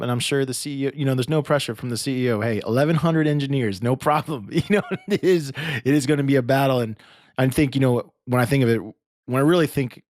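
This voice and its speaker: A man's voice: mid-range voice, darkish tone, no echo. Effusive male podcast host, dry close sound. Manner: effusive, informal, chatty. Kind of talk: casual conversational interview.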